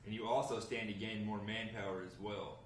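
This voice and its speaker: An earnest, hard-up man acting as a drama character with a lowish voice, persuasive and measured. He is heard from a distance in an echoey room with some hiss, reading at a table read. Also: fairly even intonation, lightly acted. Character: earnest, hard-up man bargaining with a gang boss. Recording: roomy, noisy, distant mic.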